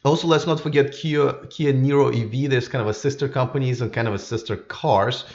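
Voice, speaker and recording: A man's voice: medium pitch, a little fast, a little mellow, muffled recording. A man hosting a live stream with casual, unscripted commentary, rambling and often repeating his words as he goes. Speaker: YouTube live stream host.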